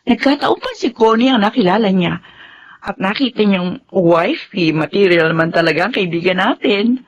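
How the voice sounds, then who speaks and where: A woman's voice: mid-range voice, animated, normal speed, natural voice. A woman playing a gossipy, approving friend, chatting with a Filipino accent in a radio drama. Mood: chatty, intrigued. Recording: slightly dull, noisy ambience.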